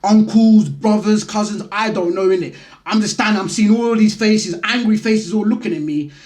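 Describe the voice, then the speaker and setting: A man's voice: high voice, moderate pace. A man with a London accent hosting a vlog, telling a personal story in a casual, slangy, conversational way. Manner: conversational, slangy, animated retelling. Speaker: vlog host.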